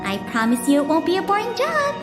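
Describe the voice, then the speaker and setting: A woman's voice: very high voice, wildly swooping pitch, quick pace, full character voice. A woman voicing an earnest young museum official who talks eagerly and politely. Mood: bright. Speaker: museum official in a game story. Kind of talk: scripted game dialogue. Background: game music.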